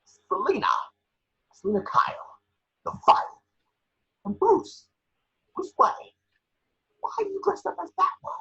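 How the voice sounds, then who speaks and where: A man's voice: very high-pitched, sing-song, measured pace, pauses often, bright, forceful tone, lo-fi sound, voice pushed up, exaggerated voice. A man voicing a panicked, scheming male tycoon villain in a superhero script read, exclamatory and pleading. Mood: shocked, shrill. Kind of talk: amateur table read of a superhero film script.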